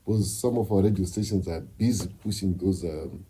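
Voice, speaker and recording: A man's voice: low voice, brisk, dark tone, a little hiss or hum. A man, a musician and producer, speaking as a guest in an interview in an emphatic, lecturing way. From Zimbabwe. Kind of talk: candid interview talk.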